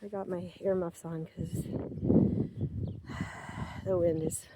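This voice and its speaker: A woman's voice: lowish voice, little pitch movement, drawn-out words, heavy background noise. A woman telling a rambling, chatty story outdoors in the snow, a little short of breath from the cold. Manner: rambling, chatty, with many fillers and quoted asides. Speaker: vlogger. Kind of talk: casual outdoor vlog storytelling. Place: outdoors on a snowy rural property.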